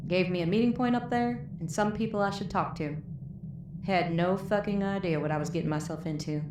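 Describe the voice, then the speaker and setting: A woman's voice: fairly low pitch, a little fast, lightly acted. A woman playing a tough, bitter, foul-mouthed wasteland moonshiner, wounded and weary, telling her story with a Southern US accent in an audio drama. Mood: wry, weary. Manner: folksy, profane, weary storytelling. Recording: roomy echo, clear background noise.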